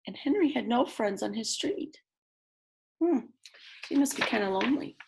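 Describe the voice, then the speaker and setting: A woman's voice: a little high, animated, moderate pace, creaky, noisy background. A woman teacher reading a children's book aloud, speaking expressively and directly to young listeners with chatty, simple asides.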